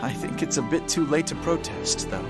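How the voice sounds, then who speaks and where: Noble, higher-pitched young king voiced by a man. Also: expressive, close to an everyday voice. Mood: solemn, reflective. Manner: formal, measured, earnest. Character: noble, idealistic young male king, composed and earnest. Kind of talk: English video game voice acting. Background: music.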